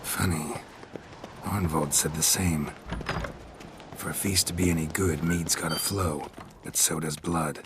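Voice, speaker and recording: An actor playing a gruff, wry monster hunter in a video game, with terse, deadpan, muttered observations. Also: male voice, very deep voice, measured pace, long pauses, noisy background. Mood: dry, watchful.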